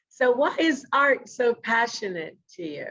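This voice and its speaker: A woman hosting an interview podcast over a video call from her living room, introducing her guest and asking questions slowly and deliberately. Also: fairly high pitch, sing-song, fairly bright tone, dull audio. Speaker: podcast host. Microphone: video call webcam.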